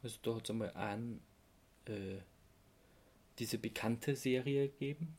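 Austrian man, creaky voice, casual podcast host. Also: medium pitch, unhurried, a little mellow. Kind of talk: casual podcast chat, explaining.

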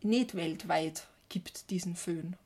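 A woman's voice: on the low side, slow, darkish tone, slightly rough. Austrian woman chatting casually as podcast co-host. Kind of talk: casual chat.

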